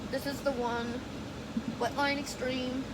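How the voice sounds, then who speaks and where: A woman's voice: high voice, little pitch movement, slow pace. A young woman vlogging casually at home, chatty and rambling, with a stuffed-up nose. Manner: chatty, rambling, self-correcting. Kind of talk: casual vlog chat. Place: bedroom at home with AC and fan running. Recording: loud fan and AC noise behind.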